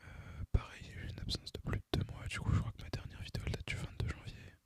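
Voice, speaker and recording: A young man speaks entirely in a soft whisper, as an ASMR gaming host would. His chat is informal and hesitant, drifting along without hurry from one thought to the next. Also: quick pace. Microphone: microphone recorded through a phone, voice-over on gameplay.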